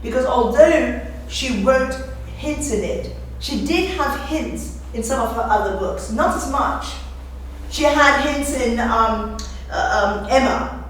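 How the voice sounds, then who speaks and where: A woman's voice: on the high side, takes it slowly. A woman giving an explanatory literary talk, emphatic and repeating phrases for effect. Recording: echoey room, distant mic, faint noise.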